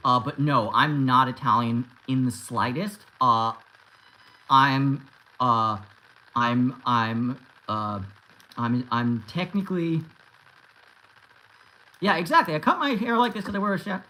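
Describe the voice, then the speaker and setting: A man in his thirties hosts a casual livestream and answers viewer questions. He rambles, circles back, and restarts his sentences often. His voice sits fairly high for a man. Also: slow pace, pauses often, bright, forceful tone, low-quality recording. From United States. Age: 30s. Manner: rambling, with frequent restarts and filler. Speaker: YouTube host answering live chat.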